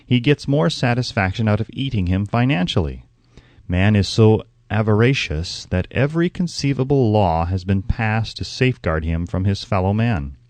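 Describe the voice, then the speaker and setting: A man reading an audiobook as scripted narration, in a measured, formal and emphatic way. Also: lowish voice, animated, a little mellow, no echo.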